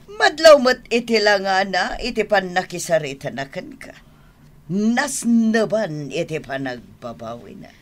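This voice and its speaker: A middle-aged mother speaks with an Ilocano accent and a very animated pitch. She is earnest and forgiving, in dramatic radio serial style. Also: a little slow. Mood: persuading.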